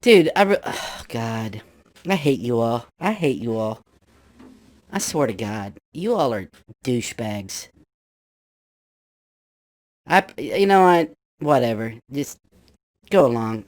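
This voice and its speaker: A man talking through a pitched-up voice changer that makes him sound like a small child, complaining irritably and swearing as he starts telling his story. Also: lively intonation, slow pace, pauses often, dry recording, studio quality. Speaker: guest storyteller. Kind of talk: casual chat.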